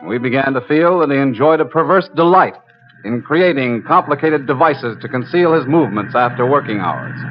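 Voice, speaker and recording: An actor playing a sober, matter-of-fact investigator in an old-time radio crime drama. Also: male voice, medium pitch, lively intonation, normal speed, close to an everyday voice. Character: sober, methodical male investigator narrating a surveillance case. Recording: dull old radio recording, music behind.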